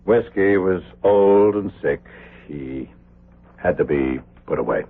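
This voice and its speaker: An actor playing a bitter, accusing grown son, speaking in a deliberate, pointed way in an American radio drama of the 1970s. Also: male voice, deep voice, full character voice. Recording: muffled, lo-fi old radio broadcast.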